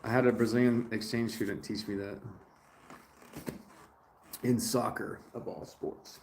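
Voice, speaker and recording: A man hosts a relaxed livestream from a garage, greeting viewers by name as he chats. His talk is loose and easygoing. A faint hiss of noise sits under the voice. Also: lowish voice, unhurried, creaky. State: dirty after a day of work. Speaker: livestream host. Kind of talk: casual livestream chat.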